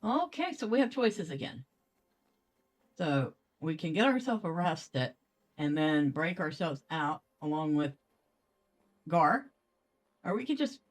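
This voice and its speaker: Lower-pitched woman doing casual game commentary. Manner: thinks aloud, playful. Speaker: gaming video host. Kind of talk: casual let's play commentary.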